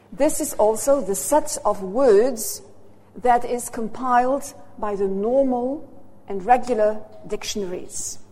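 A woman's voice: fairly high pitch, darkish tone. A Belgian woman, a native Dutch speaker with a Flemish accent, lecturing in English and checking in with her audience through short "hmm?" tags. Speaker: university lecturer. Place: lecture hall. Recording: hall reverb.

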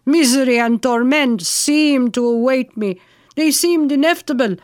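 A woman's voice: a little high, drawn-out words, no echo, clean studio sound. A woman voicing a despairing, repentant captive Pasha who is dying, solemn and pleading in archaic storybook speech. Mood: anguished pleading.

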